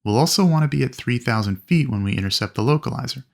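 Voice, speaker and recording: A man narrating an instructional lesson as a flight instructor, explaining things clearly and methodically. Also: mid-range voice, expressive, fast talker. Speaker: flight instructor presenting a training video. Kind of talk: instructional narration with acted radio calls.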